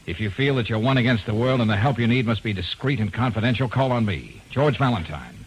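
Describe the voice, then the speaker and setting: An actor playing a breezy, wisecracking private detective in a 1940s radio drama. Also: male voice, mid-range voice, expressive, fast talker, very noisy, natural voice. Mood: dramatic. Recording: old radio drama recording.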